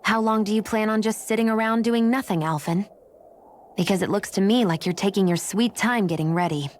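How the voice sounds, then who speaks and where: A woman's voice: medium pitch, fairly quick, a little hiss or hum, lightly acted. Curt, sardonic young warrior played by a woman. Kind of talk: video game voice acting.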